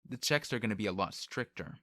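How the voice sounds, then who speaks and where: Man playing a wry male servant, casual, slangy, teasing, low-pitched, audiobook character, close dry sound. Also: expressive, fairly quick, a bit bright, no echo. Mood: cautioning. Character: laid-back, wry male servant with a sword.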